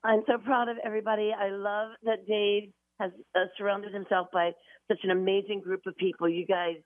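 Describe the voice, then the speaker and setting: A woman's voice: medium pitch, level tone, a little fast, no room sound. Scratchy-voiced woman on a phone line. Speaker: caller. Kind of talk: phone call to radio show.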